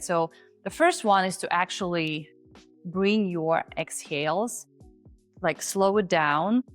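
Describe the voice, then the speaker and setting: A woman who is not a native English speaker, hosting and teaching in a chatty, animated way. She breaks off now and then to act out example voices. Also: mid-range voice. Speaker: confidence coach and host. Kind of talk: casual on-camera teaching.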